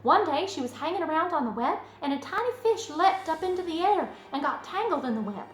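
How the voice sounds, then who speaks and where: A woman voicing an eager, imaginative, stubborn young farm girl in a storybook, earnest and excitable. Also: very high voice, a little fast, a little hiss or hum, pitched above the performer's own voice. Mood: excited, insistent. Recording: roomy echo, music underneath.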